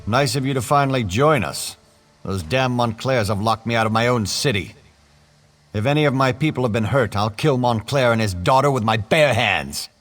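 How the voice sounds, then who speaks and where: A man's voice: mid-range voice, normal speed, fairly bright tone, faint music behind, close to an everyday voice. An actor playing a hot-tempered male nobleman who gives blunt, commanding orders. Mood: angry.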